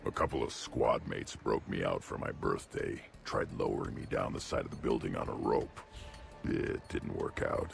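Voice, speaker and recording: An actor playing a huge young male alien brute in a video game, blunt and mischievous, growling deadpan in terse sentences. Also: normal speed, over music, heavily acted. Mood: deadpan, amused. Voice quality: deep gravelly growl. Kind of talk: video game character dialogue.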